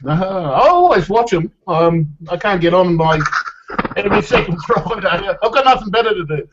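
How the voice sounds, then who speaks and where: A man's voice: sits high, animated, normal speed, noisy background. A man chatting casually as a guest on an online group hangout. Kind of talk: casual chat. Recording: dull lo-fi online call audio.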